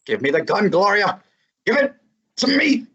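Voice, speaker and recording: A man's voice: high-pitched, sing-song, moderate pace, a bit bright, slightly rough, lo-fi sound, full character voice. A man playing a wisecracking young male detective assistant in a student radio drama, breezy and matter-of-fact. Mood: wry. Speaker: student actor.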